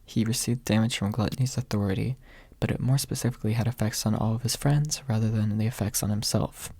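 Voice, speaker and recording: Soft husky man reading an ASMR audiobook. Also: fairly low pitch, fairly even intonation, fast talker, no room sound, studio quality. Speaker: audiobook reader. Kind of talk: soft ASMR scripted narration.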